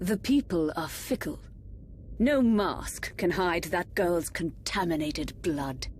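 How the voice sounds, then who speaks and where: A haughty consort, scheming and cold. She speaks low and slowly with disdain, a villain of a fantasy game, over faint noise. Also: expressive, a little mellow. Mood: cold contempt. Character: haughty, scheming, bigoted royal consort, mature woman. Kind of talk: fantasy video game acting.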